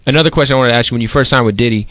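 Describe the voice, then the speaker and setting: A man hosting an interview in a casual chat, with halting questions full of fillers. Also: mid-range voice, fairly bright tone, dry recording. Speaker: interview host.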